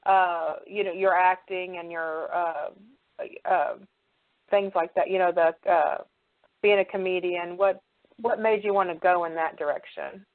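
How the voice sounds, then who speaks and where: A woman's voice: on the low side, fairly even intonation, a little slow, narrow, tinny audio. A woman hosting a casual interview, talking in a loose, hesitant, conversational way. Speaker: show host, interviewer.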